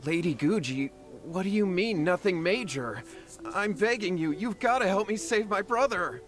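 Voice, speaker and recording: Anxious, earnest supplicant. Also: male voice, high voice, lively intonation, normal speed, fairly bright tone, faint music behind. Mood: desperate, pleading. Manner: pleading, earnest. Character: anxious, earnest man begging help for his brother. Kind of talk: anime video game acting.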